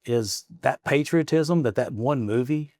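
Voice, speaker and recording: A man in his forties from Arkansas chats as an interview guest in a capitol office, his voice at an ordinary middle pitch. He rambles, restarts his stories and chuckles often. The sound is close and dry, with no room echo. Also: measured pace, darkish tone. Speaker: guest, state representative. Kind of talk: casual interview conversation.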